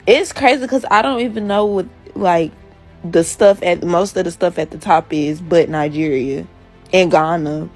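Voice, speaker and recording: A woman's voice: on the low side, moderate pace, soft background music. A woman chatting casually in a hesitant, trailing-off manner. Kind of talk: casual chat, reacting to results.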